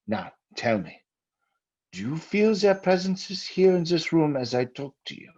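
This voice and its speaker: An actor playing a stern, authoritative doctor of the supernatural, grave and deliberate, in an old-time radio drama. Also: male voice, fairly high pitch, sing-song, darkish tone. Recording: muffled old radio recording.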